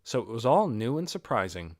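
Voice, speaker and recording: A man's voice: mid-range voice, big pitch swings, brisk, a little mellow, no echo, clean studio sound. A man reading a fantasy novel aloud as scripted audiobook narration. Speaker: audiobook reader.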